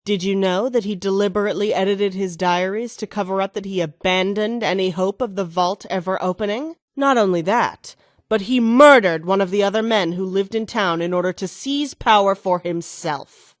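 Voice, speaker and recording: A woman's voice: mid-range voice, normal speed, no room sound, studio quality, natural voice. An actress playing an ambitious, scheming female mayoral candidate in a video game, speaking with self-assured, oratorical delivery. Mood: smug, accusing.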